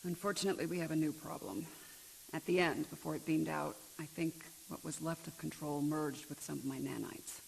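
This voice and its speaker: A woman's voice: low-pitched, steady tone, a little fast, creaky, darkish tone, slight background noise, rough recording. An actress playing a blunt, wary former-Borg woman officer in a video game, speaking dry and matter-of-fact. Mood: concerned. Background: game music.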